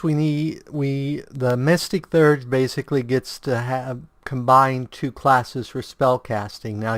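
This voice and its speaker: A man's voice: medium pitch, dry recording. A man talking through a video game guide with a sore, strained throat. His voice sits mid-range, recorded dry and close at a home desk. He explains step by step, stumbling over words and fixing them as he goes. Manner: halting, self-correcting explainer. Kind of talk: casual game walkthrough.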